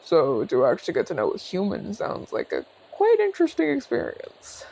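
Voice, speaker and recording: A man voicing a genial retired orc general, calm and inquisitive, speaking courteously to the listener in an ASMR audio roleplay. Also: sits high, creaky, heavily acted. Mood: friendly, curious. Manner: courteous, measured, chatty.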